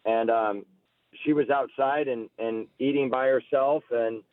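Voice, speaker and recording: A man's voice: mid-range voice, steady tone, unhurried, no room sound. An American man in his forties talking casually as a radio interview guest, telling stories about himself. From United States, Alabama-born, grew up near Seattle. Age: 40s. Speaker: guest, political candidate. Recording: muffled lo-fi call-in radio line.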